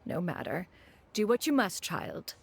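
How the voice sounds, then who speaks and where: A woman's voice: mid-range voice, sing-song, measured pace, creaky, a little hiss or hum. A woman voicing a proud, formal immortal master in a video game, with stately, archaic, haughty speech. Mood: indulgent.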